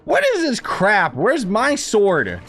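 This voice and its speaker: A man's voice: sits high, huge pitch swings, slow pace, fairly bright tone. A man streaming a video game, giving casual, blunt and flippant commentary in slangy talk. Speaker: gaming streamer.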